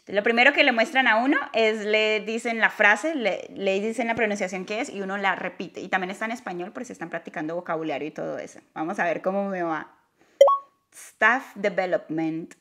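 A woman's voice: mid-range voice, brisk, pushed and bright. A young woman chatting casually on a sofa, explaining a pronunciation app and her English mistakes, laughing easily. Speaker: vlogger. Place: living room sofa.

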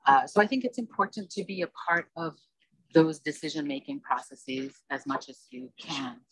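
A woman's voice: deep voice, animated, moderate pace, breathy edge, no room sound, low-quality recording. A woman on a conference panel, talking in an earnest, meandering way into a handheld mic on stage. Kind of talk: panel discussion.

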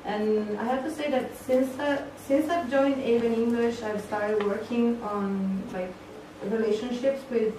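Woman talking on a panel, earnest, restarts a lot, sounds far off in a big echoey room with noise. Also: medium pitch. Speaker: panelist, forum moderator. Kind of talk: conference panel answer. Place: conference hall. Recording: echoey hall from far off, noisy.

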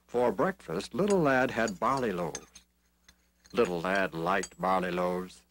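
A man narrating a children's Bible story in an expressive, deliberate manner. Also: low voice.

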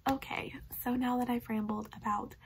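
A young woman in her twenties, a little hoarse from a cough, chatting enthusiastically about books in her bedroom to a webcam. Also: on the high side, steady tone, normal speed, background noise. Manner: upbeat, enthusiastic, rambling. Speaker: book vlogger. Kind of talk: casual book review chat. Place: bedroom, indoors. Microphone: webcam facing her at close range.

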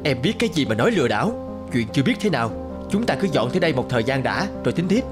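A man's voice: medium pitch, very animated, very fast, music in the background, close to an everyday voice. A man voicing a stubborn, defensive husband in a cartoon, with a brusque way of talking. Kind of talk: cartoon voice acting.

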